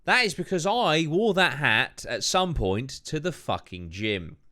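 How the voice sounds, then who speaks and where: A man's voice: a little high, big pitch swings, a little slow, bright, forceful tone. A man casually chatting and reacting to his own paranormal video as host, rambling and swearing. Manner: laid-back, swears a lot, rambling. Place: home room.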